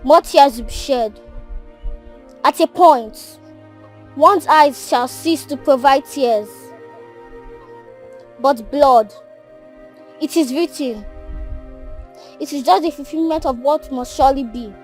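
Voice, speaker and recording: Film prophetess: high voice, slow oracular delivery, Nigerian accent, faint music behind. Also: female voice. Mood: solemn, foreboding. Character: solemn prophetess foretelling tears and blood. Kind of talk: Nollywood film acting.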